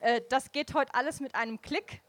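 Frank woman guest, high-ish voice. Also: normal speed, a bit bright, no echo. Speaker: guest diplomat. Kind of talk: stage conversation.

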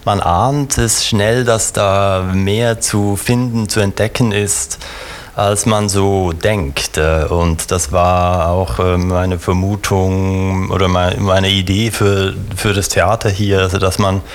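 A middle-aged man from Switzerland, a theater director answering interview questions thoughtfully with many pauses and restarts. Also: low-pitched, flat delivery.

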